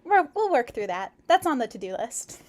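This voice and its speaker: A woman with a fairly high voice hosts a casual livestream, reading fiction aloud and chatting about it. Her delivery is expressive, giggly and effusive. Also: normal speed.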